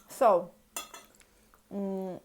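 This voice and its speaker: Slow, halting Russian-accented woman vlogger. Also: mid-range voice, darkish tone, slight background noise. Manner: hesitant, searching for words, many fillers. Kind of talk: halting casual talk in a second language.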